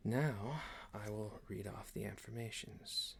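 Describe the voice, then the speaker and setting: Guy doing calm polite boss asmr, gravelly creaky voice, super slow n hushed, soothing, bit of background noise. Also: fairly low pitch. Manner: hushed, deliberate, often near-whispered, soothing. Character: calm, genteel male boss leading a relaxation ritual. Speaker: ASMR roleplay performer. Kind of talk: ASMR roleplay, guided relaxation.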